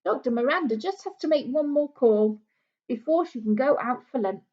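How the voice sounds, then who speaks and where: A woman's voice: high-pitched, expressive, normal speed, warm tone, dull audio. A British woman reading a children's book aloud like a primary teacher, explaining things simply for young listeners. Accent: British English.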